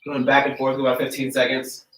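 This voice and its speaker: A man's voice: medium pitch, fast talker, roomy sound, a little hiss or hum. A man with a blocked nose talks through stretches in an easy, friendly coaching voice. He sounds distant from the camera mic, and his voice bounces around a bare garage gym. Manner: relaxed, friendly coaching while demonstrating stretches on the floor. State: congested, stuffy nose. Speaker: fitness coach. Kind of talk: live-streamed workout instruction. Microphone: camera mic from across the room.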